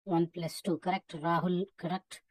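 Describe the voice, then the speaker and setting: A woman with an Indian accent teaching a live online English class in a brisk, instructive way with short, clipped remarks. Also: on the low side, level tone, no echo. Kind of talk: live interactive lesson, calling out names and marking answers.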